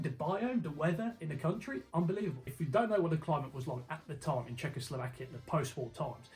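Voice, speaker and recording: A man's voice: fairly high pitch, a little mellow, rough recording. A man from Slovakia hosting an informal explainer in an emphatic, colloquial way, often asking rhetorical questions. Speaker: video host. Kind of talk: informal explainer narration to camera. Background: background music.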